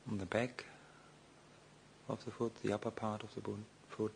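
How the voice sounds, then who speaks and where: A man teaching a guided meditation slowly and hesitantly, pausing often between short phrases. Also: fairly low pitch, level tone, gravelly, a little mellow, a little hiss or hum. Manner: slow, hesitant delivery with long pauses. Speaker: meditation teacher, Buddhist monk.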